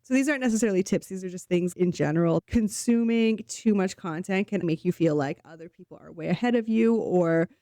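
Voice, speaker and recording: A woman hosting her own channel, a little sick so her voice sounds slightly off, talking earnestly and encouragingly to camera and slowing down to stress key points. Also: lowish voice, mellow, dry recording, clean studio sound. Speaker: host. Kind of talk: solo talk to camera.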